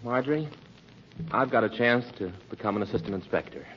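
Actor as a bashful young man, wavering pitch. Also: lively intonation, brisk, lightly acted. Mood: earnest, shy. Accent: American. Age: young adult. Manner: hesitant, giggly, aw-shucks. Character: bashful, agreeable, modest young suitor. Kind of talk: 1940s radio comedy acting. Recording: muffled, noisy old radio recording, audience.

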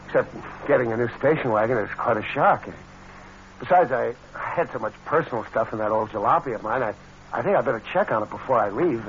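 An actor playing a crook who passes as an honest artist, evasive and hesitant, in 1940s radio drama style. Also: male voice, medium pitch, lively intonation, a little fast, close to an everyday voice. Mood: uneasy. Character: smooth-lying male crook posing as honest young artist. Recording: muffled, faintly noisy old radio recording.

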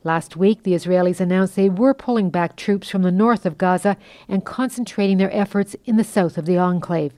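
A woman correspondent finishing a news report in a measured, formal way. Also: medium pitch, dark tone, no room sound, clean studio sound.

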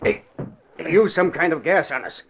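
An actor playing an excitable, emphatic swindler who is easily rattled, in 1940s radio drama style. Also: male voice, a little high, moderate pace, vocal fry, slight background noise. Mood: urgent. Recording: dull vintage 1940s radio recording.

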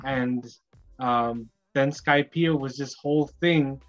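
A man's voice: mid-range voice, slow, soft background music. Reflective man on a muffled mic. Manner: reflective, rambling, many fillers and restarts. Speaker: podcast co-host. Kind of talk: casual podcast chat.

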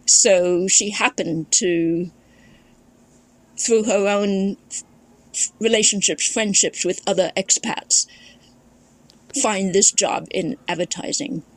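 A woman's voice: on the low side, low-quality recording. A woman from Thailand, a podcast guest and memoirist, recalling her family history in a reflective storytelling way, often restarting her sentences. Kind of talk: casual conversation.

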